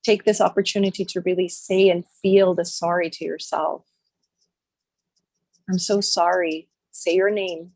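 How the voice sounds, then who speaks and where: Low, breathy woman guiding a meditation. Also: little pitch movement, no room sound, low-quality recording. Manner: slow, soft, repetitive phrases with long pauses. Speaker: meditation guide. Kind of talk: guided healing meditation.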